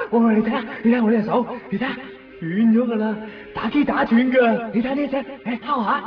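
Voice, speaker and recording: An actor playing a teasing young sweetheart in a Cantonese radio drama with a Hong Kong accent, theatrical and playful. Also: male voice, very high voice, quick pace, soft background music. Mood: manic, taunting. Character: young man, old sweetheart, turning manic and taunting in a nightmare. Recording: echoey, distant, dull radio recording.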